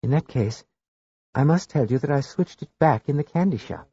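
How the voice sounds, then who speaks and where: A man voicing a small, mild old priest in a story reading, speaking gently, politely and knowingly. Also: mid-range voice, animated, normal speed, slightly rough, no room sound, voice pushed up. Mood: gentle. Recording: dull lo-fi dry recording.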